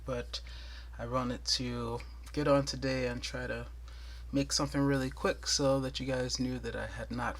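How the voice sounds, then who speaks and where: Tutorial host, male, soothing voice, mid pitch, patient and chatty, counts stitches aloud. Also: a bit bright. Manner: thorough, chatty, with light laughs and counting aloud. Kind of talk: casual step-by-step tutorial.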